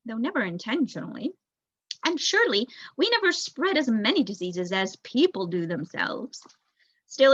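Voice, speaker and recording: A young woman voicing a thoughtful, bookish male rat elder telling a storybook history in a measured, reflective way. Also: on the high side, fairly bright tone, slightly rough, no room sound, exaggerated voice. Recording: dull lo-fi recording.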